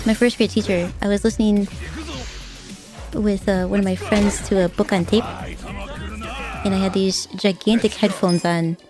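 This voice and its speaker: A young woman streaming a game, chatting softly and casually with viewers, often repeating words as she goes. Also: mid-range voice, fairly even intonation, over music. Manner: soft-spoken, halting, repeats words. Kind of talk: casual gaming stream chat.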